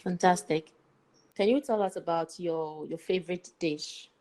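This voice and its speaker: A woman's voice: on the low side, unhurried, darkish tone, poor audio. A woman presenting and interviewing as the host of a podcast. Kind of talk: scripted podcast introduction and interviewing.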